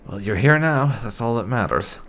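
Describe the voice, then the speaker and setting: A man's voice: mid-range voice, lively intonation, moderate pace, fairly bright tone, a little hiss or hum. A man hosting a game playthrough, commenting on his choices and talking partly in character as he roleplays. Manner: talks in character while narrating his choices. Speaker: gaming channel host.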